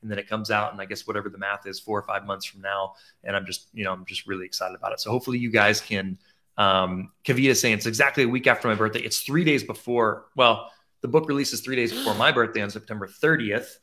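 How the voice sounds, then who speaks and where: A man's voice: fairly low pitch, fairly even intonation, fast talker, sharp tone. A man in his thirties hosting a casual online chat as a photography mentor, upbeat and chatty with frequent restarts. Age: 30s.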